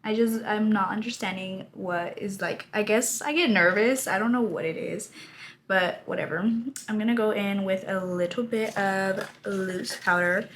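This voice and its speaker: A woman in her twenties, her voice raspy from a lost voice, chatting casually while doing her makeup, laughing often. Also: mid-range voice, a little slow, slight background noise. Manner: chatty, rambling, laughs often, says y'all. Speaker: beauty vlogger. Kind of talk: casual get-ready-with-me chat.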